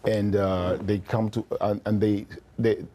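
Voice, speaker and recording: A man's voice: fairly low pitch, flat delivery, unhurried, mellow. A man in his fifties, an actor telling his story relaxedly in an interview. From Kenya. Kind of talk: casual interview talk.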